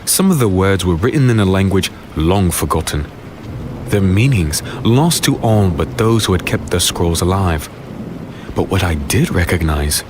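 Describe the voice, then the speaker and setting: A man acting as the brooding first-person narrator of a dark fantasy tale, solemn and descriptive. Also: sits low, background noise. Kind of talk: scripted dramatic narration.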